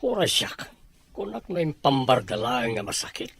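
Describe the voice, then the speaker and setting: A man's voice: mid-range voice, very animated, moderate pace, creaky, fairly bright tone. An actor playing a stern, worried father and businessman husband in a radio drama. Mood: stern, questioning.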